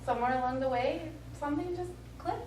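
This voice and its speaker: A brash, excitable woman astronaut played by a stage actress with a high, sardonic voice. She is heard from far off in an echoey hall with faint noise. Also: fast talker, close to an everyday voice. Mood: sardonic, fond. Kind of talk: stage acting.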